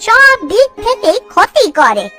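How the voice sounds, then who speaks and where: Shrill, very high-voiced village wife. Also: female voice, huge pitch swings, unhurried, pushed and bright, heavily acted. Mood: worried. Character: anxious scolding village housewife, timid and cautious. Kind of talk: cartoon dialogue acting. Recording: faint music behind.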